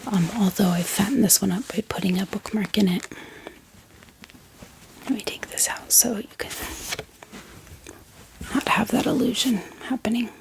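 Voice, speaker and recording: Whispering, creaky-voiced woman doing soft ASMR. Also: mid-range voice, background noise. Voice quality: breathy, whispery voice. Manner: gentle, unhurried, hesitant pauses. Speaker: ASMR book haul vlogger. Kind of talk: soft-spoken whispered ASMR, casual and unscripted.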